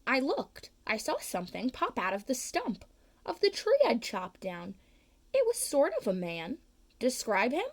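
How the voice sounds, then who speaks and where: A woman reads a picture book aloud to children in a slow, unhurried way. Her voice sits a little high, and it leaps up on the words she wants to stress. She reads each line with storyteller care. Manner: slow, expressive reading with big pitch swings on key words.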